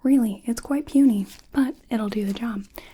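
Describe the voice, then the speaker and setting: A woman whispering softly and playfully through an ASMR doctor's exam roleplay, drifting close to and away from the mic. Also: a little high, normal speed, slight background noise.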